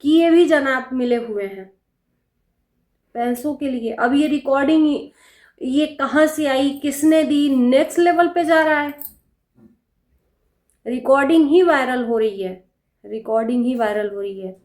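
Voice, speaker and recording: A woman with a fairly high voice delivers casual reaction commentary. She is opinionated and pointed, leaning on rhetorical questions and repeating her phrases. The recording has clear room echo around her voice. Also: a little slow, pauses often, darkish tone. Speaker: reaction video host. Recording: echoey, reverberant room.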